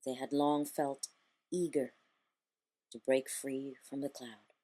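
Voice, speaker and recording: A woman reading an epic poem aloud as a bedtime story, slow and deliberate. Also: extremely low pitch, little pitch movement, mellow. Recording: echoey, long hall reverb.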